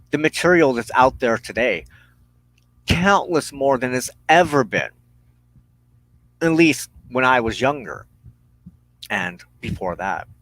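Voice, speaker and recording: Slow-spoken, earnest man in a dry room. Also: medium pitch, fairly bright tone, dry recording, poor audio. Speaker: host of a weekly spiritual broadcast. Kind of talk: reflective unscripted monologue.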